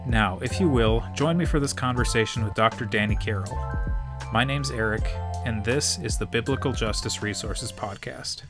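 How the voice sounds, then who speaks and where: A man in his thirties hosts a podcast, reading his introduction in a measured, earnest way. A music bed plays clearly beneath his voice. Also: medium pitch. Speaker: podcast host. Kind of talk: scripted podcast introduction and interview.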